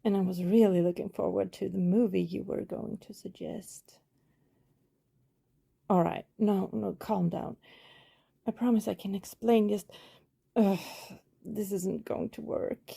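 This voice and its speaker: A woman playing a friendly, apologetic vampire who poses as a shy neighbor in an intimate ASMR roleplay, rambling hesitantly in a soft, husky, breathy voice. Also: mid-range voice, steady tone, a little slow, pauses often, heavily acted. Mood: flustered.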